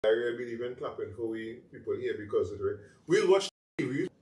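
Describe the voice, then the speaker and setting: A man's voice: mid-range voice, animated, fairly quick, a little mellow. A man with a Trinidadian accent chatting as a talk show guest, telling stories animatedly in Creole phrasing. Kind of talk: casual chat. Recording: echoey room, mic at a distance, faint noise.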